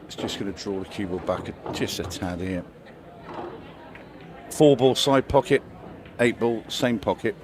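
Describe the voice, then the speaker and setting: A man giving brisk play-by-play commentary on a pool match, with dry asides. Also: fairly low pitch, crowd noise. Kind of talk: live sports commentary.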